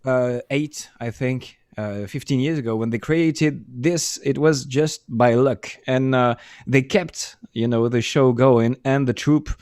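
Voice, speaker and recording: A middle-aged man with a French accent, a musician guest chatting casually and often pausing to search for English words. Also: mid-range voice, darkish tone, no echo. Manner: halting, many restarts and fillers, searching for English words. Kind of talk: casual interview chat.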